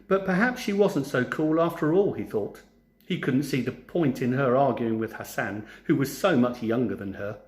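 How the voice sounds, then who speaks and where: A man narrating a storybook for children in an expressive, theatrical way, like a teacher. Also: medium pitch, normal speed. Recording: roomy, echoing sound.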